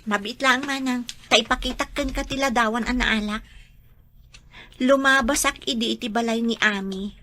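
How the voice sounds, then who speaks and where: A woman's voice: fairly high pitch, fairly even intonation, normal speed, bright, forceful tone. A woman playing a frank, concerned neighbor in a radio drama, earnest and direct, with an Ilocano accent. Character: Nancy, frank, concerned female neighbor bearing bad news.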